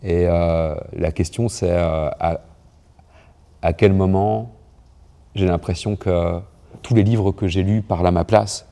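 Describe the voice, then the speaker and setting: Low-voiced guy in his twenties, warm dark tone, stops and restarts a lot, interview. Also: fairly even intonation, slow, long pauses. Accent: neutral Parisian French. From France. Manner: hesitant, many restarts and fillers. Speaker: writer and poet.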